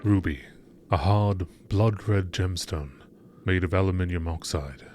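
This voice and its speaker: A man with a fairly low voice reads scripted narration. His delivery is measured and deliberate, in the style of a nature documentary. There is some noise behind the voice. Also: darkish tone. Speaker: narrator reading game text aloud. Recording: clearly audible background noise.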